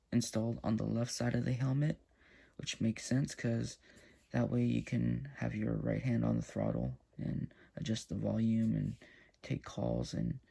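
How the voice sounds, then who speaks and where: A man casually talking viewers through a hands-on gear install, relaxed and hesitant with frequent fillers. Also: lowish voice, vocal fry, a little hiss or hum. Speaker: YouTube motorcycle gear reviewer. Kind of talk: casual step-by-step install walkthrough.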